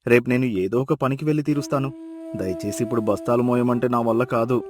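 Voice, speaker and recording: A man playing a lazy, food-loving young village husband with a whiny, exaggerated manner in an animated moral story. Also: medium pitch, fast talker, lightly acted. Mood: whiny pleading. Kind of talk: acted moral story dialogue.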